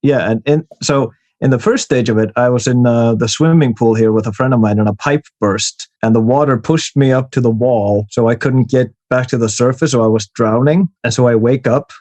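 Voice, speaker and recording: A man's voice: medium pitch, normal speed, few pauses, a little mellow, no room sound. A man chats casually as a podcast guest, telling personal stories in informal language with free swearing. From Iceland. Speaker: recurring podcast guest, horror writer. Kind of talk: casual chat, personal anecdotes.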